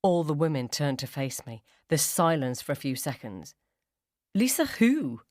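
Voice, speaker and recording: A woman reading a first-person ghost story as scripted dramatic narration, measured and suspenseful. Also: very deep voice, darkish tone, no room sound, clean studio sound. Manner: suspenseful, measured, acts out dialogue.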